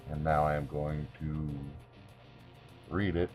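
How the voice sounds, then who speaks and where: A man presenting and reading his own short story in a theatrical, deliberate way. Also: rumbling low, flat delivery, darkish tone. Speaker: video creator reading own short stories. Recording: dull lo-fi sound with music behind.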